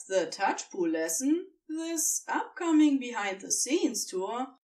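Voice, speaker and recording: A woman narrating fan fiction like an audiobook, with deliberate, dramatic phrasing and emphatic pauses. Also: high voice, far from the mic.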